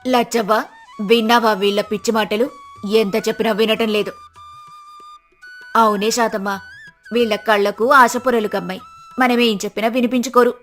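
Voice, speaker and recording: A woman playing a sharp-tongued, worried village wife in a moral story, scolding in exasperation. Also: a little high, steady tone, normal speed, pauses often, faint music behind, natural voice. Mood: exasperated.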